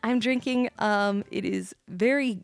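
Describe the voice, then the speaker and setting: A woman's voice: mid-range voice, measured pace, vocal fry, no room sound. A giggly, tipsy woman chatting casually as she streams a game, breaking off to react. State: tipsy, drinking wine. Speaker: streamer, virtual YouTuber playing a game live. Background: faint background music.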